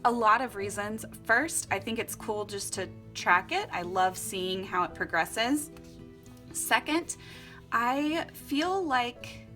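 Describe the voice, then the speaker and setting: A young woman chatting casually and upbeat as she walks through her journal setup at home, laughing often. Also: mid-range voice. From Florida and Costa Rica. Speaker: vlogger. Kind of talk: casual vlog narration while journaling.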